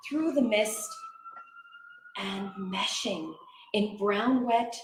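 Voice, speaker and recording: A woman reciting poetry as a dramatic reader, with measured, expressive and deliberate phrasing. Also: mid-range voice, slight background noise. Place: indoor library event room. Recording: echoey room, live reading.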